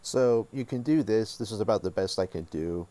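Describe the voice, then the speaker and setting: A man hosting a casual software tutorial, talking informally and thinking aloud as he works. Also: fairly low pitch, expressive, moderate pace, no echo. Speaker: tutorial host.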